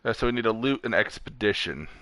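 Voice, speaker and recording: A man's voice: on the low side, animated, fast, fairly bright tone. A man streaming a video game and chatting casually with a friend in a rambling, sweary way. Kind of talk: casual gaming chat with a friend over voice chat.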